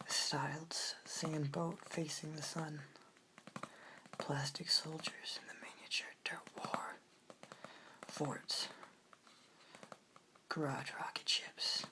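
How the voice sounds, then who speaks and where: Slow, low whispering woman. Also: rough recording. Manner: whispered, breathy, unhurried delivery. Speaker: ASMR host reading poetry aloud. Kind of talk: soft-spoken ASMR poetry reading. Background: hiss, clicks from tapping and page turning.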